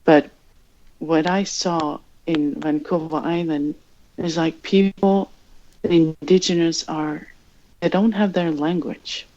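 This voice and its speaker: Greenlandic woman in her thirties, journalist guest, unhurried, slightly muffled recording. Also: sits low, fairly even intonation, dark tone. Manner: slow, thoughtful, searching for words. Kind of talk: reflective interview answers. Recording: dull lo-fi sound.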